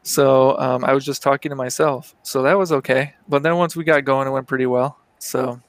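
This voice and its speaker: A man co-hosting on a video call, speaking in a flat, self-deprecating monotone. The sound is dry and close, with no room around it. His pitch is ordinary for a man. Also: moderate pace, no echo. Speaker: magazine editor, co-host. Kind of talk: casual chat.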